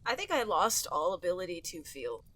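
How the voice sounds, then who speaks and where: A woman's voice: fairly low pitch, sing-song, a little fast, a bit bright, breathy edge, no echo. A woman co-hosting a comedy podcast in casual, joking conversation. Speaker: podcast co-host.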